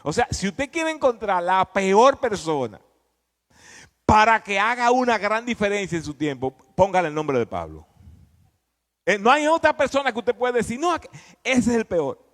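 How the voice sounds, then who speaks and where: A man preaches in a fairly high, very animated voice. He teaches emphatically and conversationally, throwing questions out to his listeners. Long reverb from the hall trails his words. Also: normal speed. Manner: emphatic, didactic, often prompting listeners with questions.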